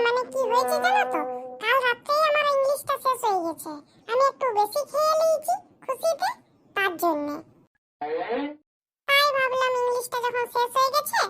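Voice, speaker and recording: A man voicing an excitable, cheeky cartoon villager in comedy dialogue, complaining colloquially through a pitched-up effect. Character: squeaky, excitable cartoon villager at a matchmaking visit. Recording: clearly noisy audio with clicks.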